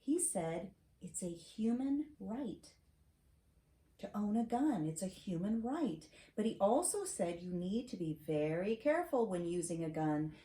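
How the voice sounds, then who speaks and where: A middle-aged American woman reading a storybook aloud to a child, with casual asides and questions, in an expressive, teacherly manner. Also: medium pitch, measured pace. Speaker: host reading to her granddaughter. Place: home library, seated at a desk. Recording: roomy echo, clear background noise.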